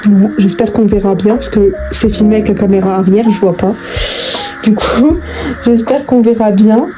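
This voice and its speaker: A woman talks casually to her viewers while working with her hands. Her pitch is average for a woman, and her delivery is informal and hesitant, with trailing phrases and repeats. Music plays clearly behind her voice. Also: steady tone, moderate pace, low-quality recording. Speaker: video host doing a craft tutorial. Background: background music.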